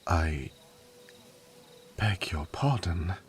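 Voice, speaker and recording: A man's voice: deep voice, lively intonation, extremely slow, noisy background, heavily acted. A man playing a loyal royal servant in a fantasy audio drama, formal, courteous and restrained, with dry wit. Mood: formal, flustered.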